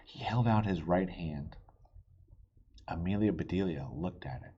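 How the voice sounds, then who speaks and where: A man reading a children's story aloud in an expressive, theatrical way, with chatty asides to young listeners. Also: sits low, unhurried, slightly rough, dull audio. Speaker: children's book reader.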